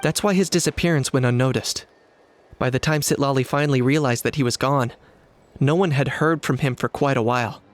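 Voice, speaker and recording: Man acting calm, pragmatic young warrior. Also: mid-range voice, lightly acted. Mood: serious, explanatory. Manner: measured, matter-of-fact, serious. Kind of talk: video game voice acting.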